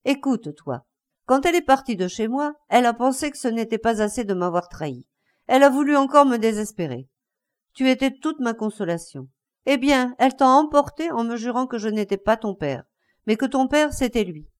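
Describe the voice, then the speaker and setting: A woman's voice: fairly high pitch, sing-song, normal speed, voice pushed up. A woman voicing a bitter, enraged older man, a betrayed husband in a story, breathless and insistent in his outbursts. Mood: tormented, demanding.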